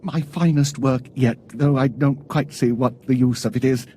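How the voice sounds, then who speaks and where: An actor playing a nervous, cowardly inventor in a video game, anxious and pleading. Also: male voice, mid-range voice, fairly even intonation, moderate pace, warm tone, a little hiss or hum, close to an everyday voice. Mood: relieved, wary.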